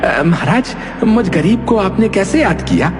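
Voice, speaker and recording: Poor villager hero, meek and stammering, reverb. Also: male voice, high-pitched, very animated, moderate pace, over music. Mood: nervous. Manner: humble, stammering. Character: poor, kind, frightened villager.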